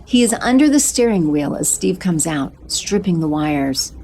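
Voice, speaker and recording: A woman narrating an audio drama in a steady, descriptive way. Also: on the low side, normal speed, a little hiss or hum, low-quality recording. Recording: music bed under narration.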